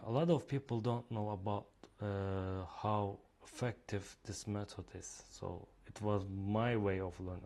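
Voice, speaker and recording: A man's voice: lowish voice, darkish tone. A man with a Turkish accent, a native Turkish speaker, walking viewers through a reading app in a screen-recorded demo, speaking hesitantly with many fillers. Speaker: app creator demonstrating his reading app.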